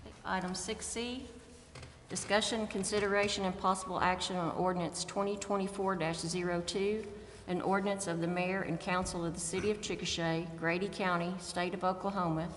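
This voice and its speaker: A woman's voice: lowish voice, a little hiss or hum. Woman chairing a meeting in an echoey chamber. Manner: steady, measured reading of legal text. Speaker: presiding council official. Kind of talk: formal meeting procedure, reading agenda items. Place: council chambers. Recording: echoey chamber with long reverb.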